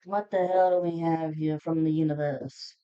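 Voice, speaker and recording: A woman's voice: low voice, fairly even intonation, normal speed, warm tone, smooth, muffled recording. A woman giving a casual, unscripted tarot reading to viewers, speaking in a blunt, informal, foul-mouthed manner. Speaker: tarot reader hosting a zodiac reading.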